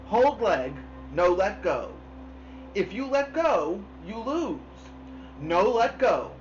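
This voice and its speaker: A woman's voice: mid-range voice, sing-song, takes it slowly, a little hiss or hum, pitched above the performer's own voice. A woman voicing an earnest, emotional young girl in a children's storybook. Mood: playfully instructing. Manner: pleading, emotional. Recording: dull lo-fi sound, music behind.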